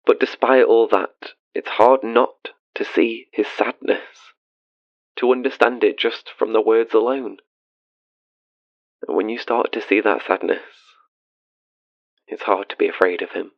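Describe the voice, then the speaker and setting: A dull, dry recording of a haunted, tender father in an audio drama. His voice is low and gravelly, and he tells his ghost story in a hushed, wistful confession. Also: steady tone, unhurried, long pauses, no echo. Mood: wistful, reflective. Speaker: caller to a radio show.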